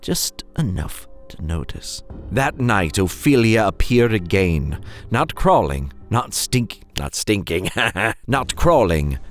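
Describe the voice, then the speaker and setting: A man narrates horror with theatrical suspense and playful asides to his listeners. His pitch sits very low and swoops widely for effect. Loud music plays behind him. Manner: suspenseful, playful, dramatic pauses. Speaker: horror story narrator and host.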